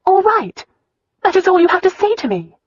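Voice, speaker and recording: A woman's voice: high-pitched, big pitch swings, moderate pace, no room sound, dull audio, voice pushed up. A narrator voicing a proud, fiery young noblewoman who talks in an indignant, demanding way.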